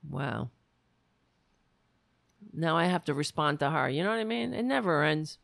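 Raspy-voiced woman ranting on a livestream. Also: deep voice, expressive, normal speed, fairly bright tone, dry recording. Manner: blunt, sarcastic and heated. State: raspy, worn-out voice. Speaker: livestream show host. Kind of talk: political rant and chat reading.

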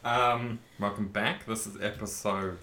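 Low-voiced man hosting a jokey chat. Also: steady tone, measured pace, bright, forceful tone. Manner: jokey, rambling, laughs a lot. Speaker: podcast host. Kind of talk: casual chat. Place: home at night.

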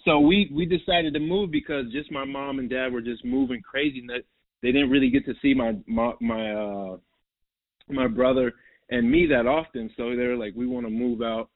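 A man's voice: medium pitch, moderate pace, no room sound. A young man from New York, a basketball guest in a casual interview, rambling and repeating himself with lots of "like" and "bro". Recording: tinny phone-like line, dry.

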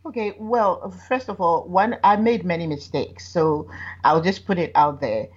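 A woman's voice: fairly low pitch, normal speed, highs cut off. A woman who grew up in Ghana, a writer guest telling candid personal stories and laughing often. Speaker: author guest. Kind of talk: candid conversational storytelling.

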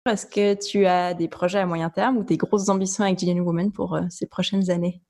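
A woman's voice: lowish voice, fairly even intonation, a little mellow. A woman hosting a podcast, asking friendly questions and closing the episode. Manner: friendly, measured questions and wrap-ups. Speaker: podcast host. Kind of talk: podcast interview.

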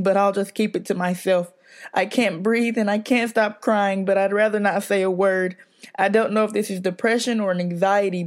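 A teenage girl performing her own spoken word piece, intense, pleading and confessional. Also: mid-range voice. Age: teens. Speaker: student performer.

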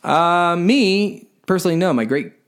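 A man in his late thirties, a filmmaker guest on a podcast, agreeable and quick to laugh. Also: high-pitched, lively intonation. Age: late 30s. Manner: agreeable, laughs easily, self-described young voice. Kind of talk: casual interview chat.

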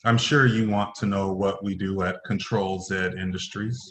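A man playing a stern, formal middle-aged company executive in a play reading, halting and stumbling over his phrases. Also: deep voice, fairly even intonation, fairly bright tone, a little hiss or hum. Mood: formal, guarded. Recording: roomy echoing voice.

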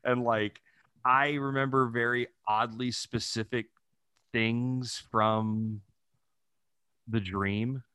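A man's voice: medium pitch, steady tone, slow pace, pauses often, sharp tone, smooth, no room sound. A man in his thirties chatting casually and jokily as a podcast co-host, telling stories with loose, profane humor. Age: 30s. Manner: profane, jokey storytelling with frequent chuckling. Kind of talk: casual comedic chat.

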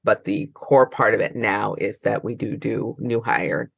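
A woman gives informal interview answers as a librarian. Her voice has a lot of fry and is recorded dry, without room sound. She explains haltingly, restarting and circling back as she goes. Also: rumbling low, fairly even intonation, dry recording. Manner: halting, circling explanations with many false starts.

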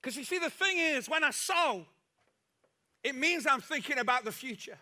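A man preaching an impassioned sermon with a repetitive, emphatic cadence that rises to shouts. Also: very high voice, expressive, normal speed.

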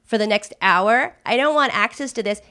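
A woman's voice: fairly high pitch, normal speed, pushed and bright. A woman in casual chat, telling an embarrassing story with lots of laughter and fillers. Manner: laughs often, many fillers and restarts.

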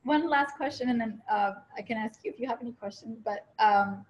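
A woman with a somewhat high voice, interviewing a guest in a casual chat. Her questions wander, with false starts and self-corrections along the way. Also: brisk, muffled recording. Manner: chatty questions that trail and restart. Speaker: interviewer and retreat host.

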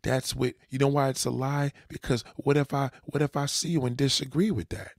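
A man's voice: medium pitch, fairly even intonation, fairly quick, no echo, clean studio sound. A man giving blunt dating advice in a reaction commentary, lecturing and often checking whether the listener understands. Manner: lecturing, repeats "you understand?"; blunt, swears.

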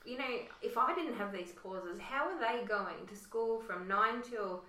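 A woman host with a croaky voice, chatting warmly and using lots of fillers. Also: medium pitch, animated, a little slow, distant mic. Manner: chatty, lots of "you know". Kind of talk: casual discussion. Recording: roomy echo.

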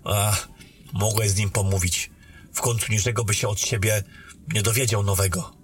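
A man's voice: lowish voice, fairly even intonation, moderate pace, lightly acted. A man playing an earnest, defensive young soldier captain in a fantasy audio drama. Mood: wry. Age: young adult. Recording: echoey hall, distant from microphone.

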